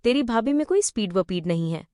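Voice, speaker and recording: A woman's voice: a little high, animated, brisk, mellow, clear, resonant tone, no room sound, clean studio sound, natural voice. A woman voicing a blunt young college friend in a cartoon story. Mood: scornful. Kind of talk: cartoon voice acting.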